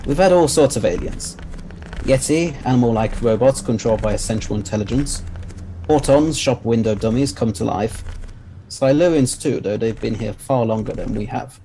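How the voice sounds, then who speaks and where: Brisk, knowing sci-fi hero played by a man, warm dark tone, average pitch, faint background noise. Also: natural voice, poor audio. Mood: explaining. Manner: assured, explanatory. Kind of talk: audio drama acting.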